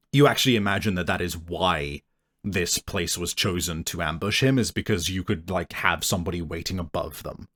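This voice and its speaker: A man acting as game master, improvising descriptive narration in a conversational way and addressing the player directly. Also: fairly low pitch.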